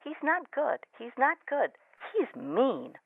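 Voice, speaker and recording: Desperate, helpless mother played by a woman, high-pitched and pleading, muffled telephone effect, radio play. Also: huge pitch swings, slow pace, no echo, heavily acted. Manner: pleading, repetitive. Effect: telephone filter. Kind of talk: radio drama acting. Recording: muffled telephone line, faint music.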